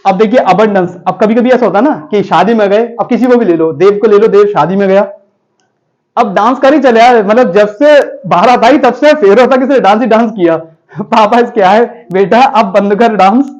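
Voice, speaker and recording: Guy teaching vocab words, high voice, jokey and chatty, kinda dull lo-fi sound. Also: moderate pace, darkish tone. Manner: chatty, jokey, addresses students as children and friends. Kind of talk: classroom-style vocabulary lesson with jokes.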